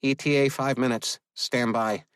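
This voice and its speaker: A brisk mission controller, voiced by a male audiobook narrator gives clipped, businesslike reports. The sound is very dry and close. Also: medium pitch, fairly even intonation, a bit bright, smooth, no echo, pitched above the performer's own voice, lightly acted. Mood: brisk, alert. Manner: clipped radio procedure.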